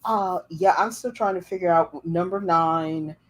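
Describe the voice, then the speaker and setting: A woman hosting a casual livestream, chatting in a slightly low voice. She rambles through her stories. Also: moderate pace. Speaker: livestream host. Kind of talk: casual chat. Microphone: livestream setup.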